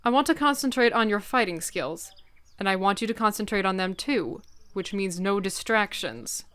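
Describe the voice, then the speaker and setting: A woman playing a stern, commanding warrior cat leader and mentor in an audiobook drama, speaking firmly and with deliberate phrasing. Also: mid-range voice, expressive, a bit bright, clean tone, dry recording, lightly acted. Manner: firm, measured, deliberate. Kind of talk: fan-dub audiobook drama acting.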